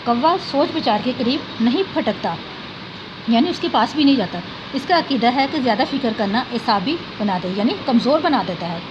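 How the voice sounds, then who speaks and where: A woman's voice: a little high, expressive, normal speed. Woman teacher reading and glossing words. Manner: reads a sentence, then glosses hard words with "yani". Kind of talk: reading a text aloud and explaining words for students. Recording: dull, lo-fi recording with clear background noise.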